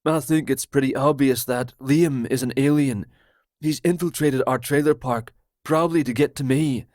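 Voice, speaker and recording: A male narrator voicing a paranoid, excitable man from a trailer park, loud, fast and indignant. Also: mid-range voice, no room sound, clean studio sound, lightly acted. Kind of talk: audiobook character voice.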